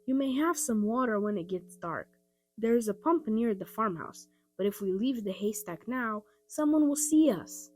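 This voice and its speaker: A woman's voice: fairly high pitch, lively intonation, normal speed, darkish tone. A woman voicing a responsible, cheerful older brother in a children's storybook, reassuring and instructing.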